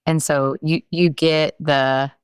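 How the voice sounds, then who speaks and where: A woman hosting a podcast, asking thoughtful, measured interview questions. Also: sits low, steady tone, clear, resonant tone, no echo. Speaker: podcast host. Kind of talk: conversational interview.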